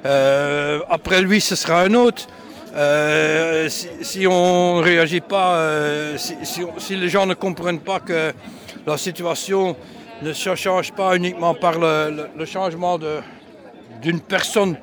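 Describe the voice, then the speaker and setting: Brief: non-native man, slightly high voice, halting answers full of restarts, outdoor protest interview. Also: fairly even intonation, fairly bright tone. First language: not French. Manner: halting, many restarts and fillers. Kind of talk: hesitant street interview answers. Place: outdoor street demonstration.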